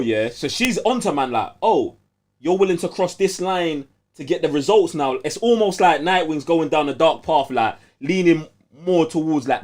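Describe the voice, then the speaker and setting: A young man with a London accent co-hosting a podcast, chatting in heavy street slang and telling stories emphatically. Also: fairly high pitch. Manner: animated, emphatic storytelling. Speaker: podcast co-host.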